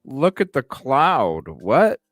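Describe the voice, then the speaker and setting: A man hosting a livestream with casual, halting reaction commentary, often repeating himself. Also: mid-range voice, wildly swooping pitch, studio quality. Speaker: livestream host. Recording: roomy, music playing behind. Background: background music.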